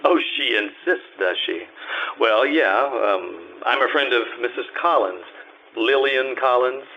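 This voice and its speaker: A man's voice: medium pitch, a little slow, highs cut off, a little hiss or hum, lightly acted. A man playing a wry, sardonic hardboiled private eye in an old-time radio drama, narrating and trading wisecracks.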